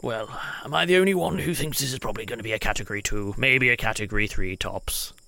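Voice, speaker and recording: A man's voice: mid-range voice, fast, vocal fry, pushed and bright, no echo. A man playing a self-important, competitive paranormal scientist in a tabletop game, pompous and wry. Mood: dismissive.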